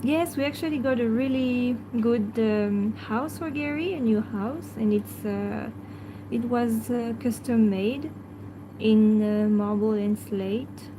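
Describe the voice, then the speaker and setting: Slow, hesitant French-accented woman. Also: fairly high pitch, noisy background. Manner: hesitant, frequent fillers, talks softly to her pet. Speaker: pet livestream host. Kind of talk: casual livestream chat answering viewers.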